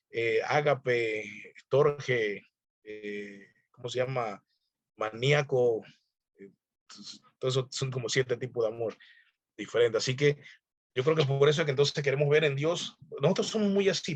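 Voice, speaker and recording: A man's voice: medium pitch, no room sound. A man, a pastor, teaching a Bible class in a loose, conversational way, often asking the listener questions and chuckling as he goes. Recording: dull dry lo-fi sound.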